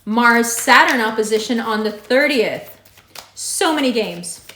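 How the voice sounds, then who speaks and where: A woman with a raspy voice giving a monthly horoscope reading, direct and emphatic, repeating her advice. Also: on the high side, animated, measured pace, fairly bright tone, a little hiss or hum. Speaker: astrology reader. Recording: echoey room.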